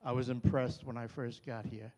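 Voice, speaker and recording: An older man with a Brooklyn accent, loud and chatty, introducing himself and his partner at a community meeting. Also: mid-range voice, little pitch movement, moderate pace. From New York. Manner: loud, chatty, self-deprecating. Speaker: new neighborhood representative. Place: chapel hall. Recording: reverberant hall.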